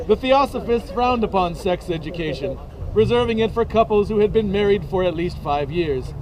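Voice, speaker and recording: Male reader, deadpan storybook narration of a comic tale, light high voice, recorded outdoors. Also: normal speed, a crowd in the background, low-quality recording. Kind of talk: comic dramatic reading aloud. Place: outdoors at an event.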